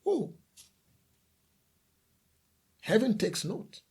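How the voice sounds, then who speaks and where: A man's voice: sits high, wildly swooping pitch, very slow, darkish tone, husky. A man from The Gambia preaching a sermon as a pastor, emphatic, asking rhetorical questions and repeating lists of phrases.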